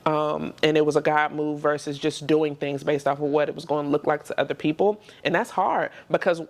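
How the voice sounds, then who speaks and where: A woman's voice: deep voice, steady tone, a little fast. Reflective woman in her thirties. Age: 30s. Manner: reflective, conversational, frequent "you know what I'm saying". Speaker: podcast guest, entrepreneur. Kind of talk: casual interview conversation.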